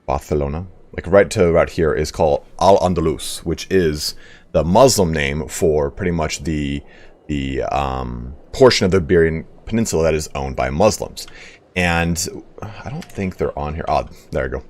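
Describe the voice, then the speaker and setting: Hoarse low-voiced male streamer. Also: normal speed, a little mellow. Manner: rambling, profane, reads donations aloud. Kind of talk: casual live-stream history talk.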